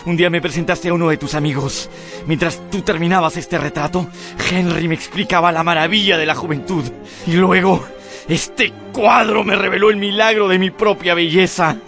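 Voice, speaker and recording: A man's voice: sits high, fairly even intonation, normal speed, gravelly. An actor playing a corrupt, vain man of youthful looks in his late thirties, theatrical and taunting, in a radio drama. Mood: bitter. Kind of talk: Spanish-language radio drama acting. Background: music.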